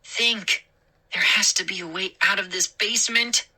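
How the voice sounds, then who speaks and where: A woman's voice: mid-range voice, a little slow, sharp tone, slightly rough, full character voice. A woman voicing an anxious young boy in a children's story. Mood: urgent. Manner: urgent, pleading.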